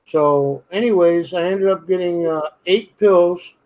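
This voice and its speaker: A man's voice: high-pitched, measured pace, a little mellow, rough recording. Blunt, swearing man with Brooklyn-ish accent. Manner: blunt, swears freely, grumbling complaints. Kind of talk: casual late-night chat.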